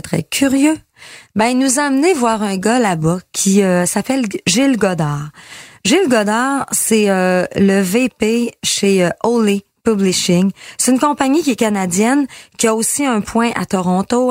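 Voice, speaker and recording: A woman's voice: mid-range voice, dry recording, studio quality. Candid Québécois woman recalling her past. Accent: Québécois French. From Quebec. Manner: candid, halting with frequent fillers. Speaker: country singer. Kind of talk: personal storytelling.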